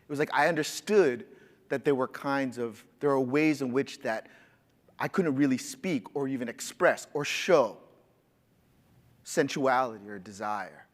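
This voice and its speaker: A man's voice: medium pitch, measured pace, big-room sound. A man on a writers' panel, searching for words with restarts and bursts of laughter. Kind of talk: panel discussion. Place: conference stage.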